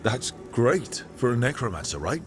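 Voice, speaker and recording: An actor playing a wry, easygoing fantasy adventurer in a video game, talking in a casual, plainspoken, questioning way. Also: male voice, medium pitch, big pitch swings, moderate pace, background noise, natural voice. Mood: wry, puzzled. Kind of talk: video game dialogue acting.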